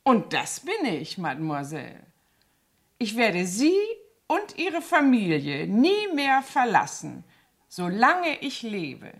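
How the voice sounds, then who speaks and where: Scheming maid in an old radio play, acts all innocent and sweet but menacing, voice really up and down. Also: female voice, medium pitch, huge pitch swings, slow pace, heavily acted. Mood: sweetly menacing. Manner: ingratiating, feigned innocence with quiet menace. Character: falsely naive, sly blackmailing cleaning woman. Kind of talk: 1970s German radio drama acting.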